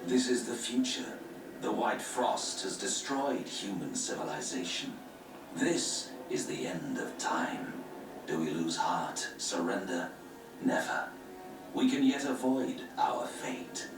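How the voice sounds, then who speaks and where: A man's voice: on the low side, sing-song, slow pace. A grave grand master speaks in a lofty, sermonizing tone. His video game audio sounds distant and roomy, with music beneath it. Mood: preaching. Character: grave male grand master of an order, preaching doom. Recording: game audio from far off in an echoey room, with music.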